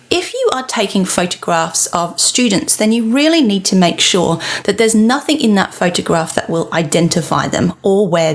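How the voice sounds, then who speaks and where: Woman podcast host with a stuffy cold. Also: medium pitch. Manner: friendly, explanatory, asks rhetorical questions. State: bunged up with a cold. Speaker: podcast host, teacher and lecturer. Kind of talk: solo educational podcast talk.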